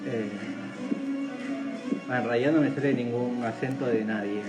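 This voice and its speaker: A man's voice: medium pitch, fairly even intonation, normal speed, low-quality recording. A man hosting a casual live-stream interview, chatty and rambling, often repeating his words. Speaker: host. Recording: loud music behind.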